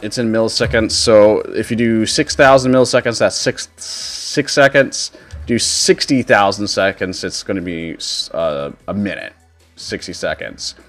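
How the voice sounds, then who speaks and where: Male streamer, lowish voice, casual walk-through delivery over light music. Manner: informal step-by-step explaining. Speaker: streamer giving a tutorial. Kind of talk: casual software tutorial. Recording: music behind the voice. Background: background music.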